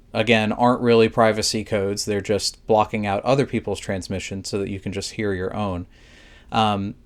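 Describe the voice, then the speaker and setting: Man narrating a methodical product review. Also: mid-range voice. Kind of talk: scripted explainer review narration.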